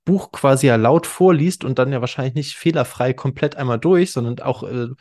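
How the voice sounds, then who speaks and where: A man's voice: mid-range voice, brisk, a little mellow. A man hosting a podcast in a casual, chatty interview style, addressing listeners directly in informal language. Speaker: podcast host.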